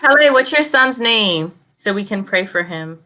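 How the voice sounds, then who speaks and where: A woman's voice: mid-range voice, expressive, moderate pace, sharp tone. A woman hosting a casual interview chat, who encourages and affirms her guest. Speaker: show host.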